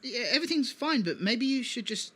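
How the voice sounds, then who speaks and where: A man's voice: very high voice, lively intonation, fairly quick, pushed and bright, slightly rough, full character voice. A man in an audio drama playing a polite settler who speaks hesitantly and apologetically.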